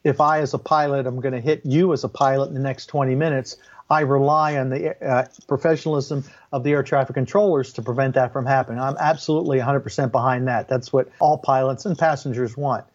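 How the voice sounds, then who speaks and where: An older man speaks as an interview guest with a pilot's background. He lays out his explanations firmly and with clear opinions, at a middling pitch. The sound is somewhat dull and lo-fi. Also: steady tone, quick pace. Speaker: interview guest, former airline and Air Force pilot.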